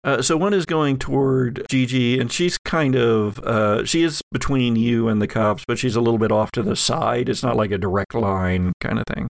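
A man improvising tabletop game narration as game master, halting as he searches for his words. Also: medium pitch, creaky, no echo.

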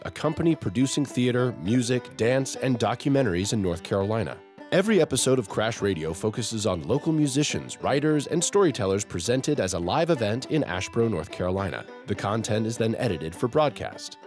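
A man reading a scripted introduction as the host of a radio show. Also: fairly low pitch. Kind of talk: scripted broadcast narration.

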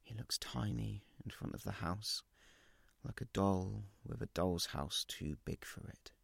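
A man playing an anguished, guilt-ridden narrator, sharply bright. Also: fairly low pitch, a little slow, gravelly, husky, dry recording, full character voice. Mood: numb, defeated. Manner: confessional, intense, short clipped repetitive phrases. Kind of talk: dramatic first-person short story reading.

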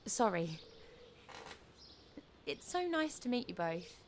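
A woman plays a young doctor in an audio drama, polite and gentle. She sounds soothing and supportive at a medium pitch, with faint background noise and birdsong. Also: sing-song, slow. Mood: courteous. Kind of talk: audio play acting.

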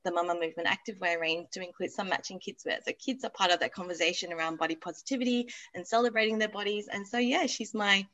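A woman's voice: medium pitch, fast talker, few pauses, fairly bright tone. A woman in her thirties from Malaysia, chatting as an interview guest about her business and family, laughing easily with frequent ums. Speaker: guest, activewear business founder. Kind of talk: podcast interview chat.

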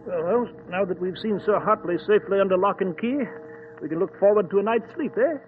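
An actor playing a bluff, loyal doctor in a 1940s radio drama, with a blustery manner. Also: male voice, high voice, a little fast, over music, close to an everyday voice. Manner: blustery, indignant, hearty. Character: bluff, loyal doctor sidekick, middle-aged man. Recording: tinny old radio with faint hiss.

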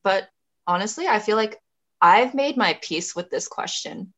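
A woman's voice: medium pitch, expressive, measured pace, fairly bright tone. A young woman from Canada, a podcast guest, speaking earnestly and thoughtfully in a reflective conversation, often restarting her sentences.

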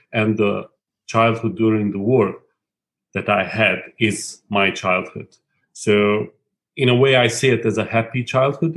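Gravelly low-voiced Bosnian man, reflective. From Bosnia and Herzegovina. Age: 30s. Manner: reflective, halting, with frequent restarts. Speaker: guest. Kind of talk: personal testimony in an interview.

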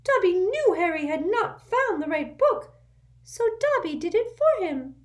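Woman doing a very high, squeaky little male house-elf, earnest and servile, mangled grammar, storybook voice. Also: very animated, a little slow, exaggerated voice. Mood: urgent, squeaky. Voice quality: squeaky, broken grammar, says sir constantly.

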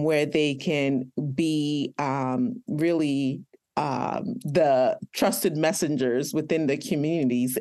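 Measured woman pharmacist, frequent pauses. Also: low voice, a little mellow. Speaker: pharmacist and educator. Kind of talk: podcast interview answers.

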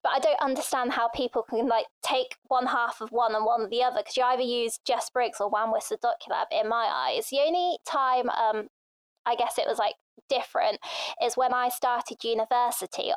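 A woman's voice: a little high, clean tone, dry recording. A young woman from England being interviewed, talking casually and chattily with many fillers. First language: Thai. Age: young adult. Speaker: interview guest.

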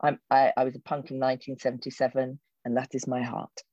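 A woman's voice: a bass voice, little pitch movement, fast talker, warm tone, no room sound. A woman in her sixties, a theatre director and actor, giving thoughtful, wry answers in an interview, often restarting her sentences. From England. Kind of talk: reflective conversational answers. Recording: dull lo-fi dry recording.

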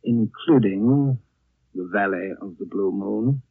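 An actor playing a husky-voiced man musing in a 1930s radio drama. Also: lowish voice, slow pace, no room sound, heavily acted. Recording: muffled, dry 1930s radio recording.

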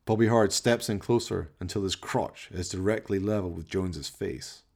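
A man's voice: deep voice, moderate pace, creaky, a little mellow. A man narrating a comic horror radio play with theatrical, dramatic storytelling.

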